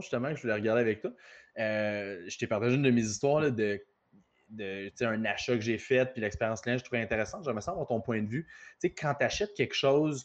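A man with a Quebec French accent hosting a casual business podcast, telling rambling stories. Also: medium pitch. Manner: talkative, rambling anecdotes. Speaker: podcast host.

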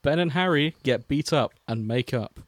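A young man co-hosting a podcast, reading fan fiction aloud with deadpan, mocking asides. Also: mid-range voice, moderate pace, dry recording. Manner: wry, deadpan, dips into mocking quotes. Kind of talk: casual chat and comic dramatic reading.

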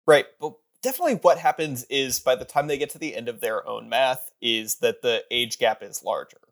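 A man in his twenties chatting on a podcast. He talks in a dry, precise, slightly nerdy manner, at an ordinary mid pitch. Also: lively intonation, brisk. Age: 20s. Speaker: podcast co-host. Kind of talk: casual podcast chat.

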